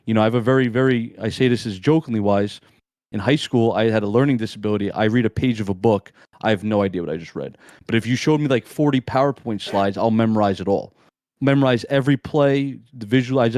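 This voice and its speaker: A young man in casual interview chat, talking in a strong monotone, laid-back and slangy, rambling with restarts. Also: mid-range voice, no echo, studio quality. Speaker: amateur esports player, guest.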